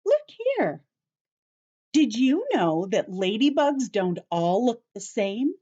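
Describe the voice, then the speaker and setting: A woman reads and explains to young children as a story-time teacher. Her pace is slow and deliberate, and her pitch moves in big animated swings. She keeps her phrases short and simple, stressing key words. The recording sounds slightly dull and lo-fi. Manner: simple short phrases, addressing children directly, careful emphasis. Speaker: children's teacher reading a picture book.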